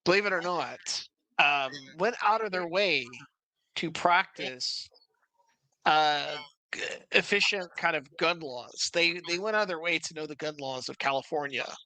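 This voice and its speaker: A man's voice: a little high, a little slow, sharp tone, dry recording, low-quality recording. A man in a casual debate, talking insistently, repeating his phrases and cutting in.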